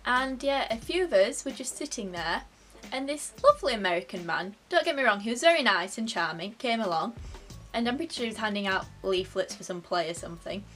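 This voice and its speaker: A young woman with a broad Yorkshire accent talks straight to camera in her bedroom, joking at her own expense. Her voice sits at an ordinary middle pitch, and soft music plays faintly behind her. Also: lively intonation, a little fast. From Yorkshire, England. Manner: chatty, self-mocking, playful. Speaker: vlogger. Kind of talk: casual comic chat to camera. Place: bedroom, indoors.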